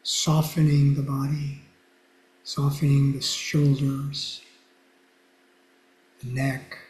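A soft-spoken man with a low, quiet voice, teaching mindfulness and guiding a meditation gently, with pauses and fillers over a video call. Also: monotone, echoey room, slight background noise, rough recording. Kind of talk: lecture and guided meditation.

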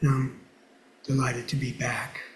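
A soft-spoken man with a low, quiet voice, teaching mindfulness and guiding a meditation gently, with pauses and fillers over a video call. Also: little pitch movement, roomy sound, a little hiss or hum, poor audio. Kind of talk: lecture and guided meditation.

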